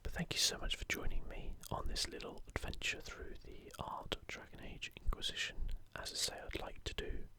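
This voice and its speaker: A man speaking in a soft, breathy whisper, gently musing in a casual ASMR show-and-tell close to the microphone. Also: brisk, hardly any pauses. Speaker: host of an ASMR gaming channel.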